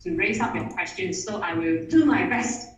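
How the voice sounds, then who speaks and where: A woman's voice: mid-range voice, animated, moderate pace. Eager woman presenting in an echoey room. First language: Mandarin. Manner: eager, repeats words and restarts phrases. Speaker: webinar speaker. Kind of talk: online presentation. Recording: echoey room audio from a distance.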